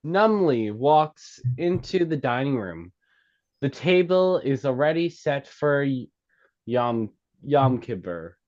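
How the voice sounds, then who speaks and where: Guy reading out script directions, slow and stumbly, bit muffled lo-fi sound. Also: medium pitch. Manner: halting, stumbles over words and rereads. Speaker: stage-direction reader. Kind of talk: reading screenplay directions at a table read. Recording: slightly dull, lo-fi sound.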